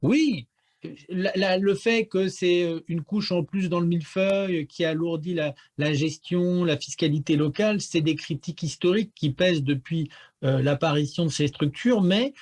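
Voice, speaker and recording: A man's voice: on the high side, normal speed, a little mellow. A man giving analysis as a guest pollster in a radio interview, explaining things with frequent stammered repeats.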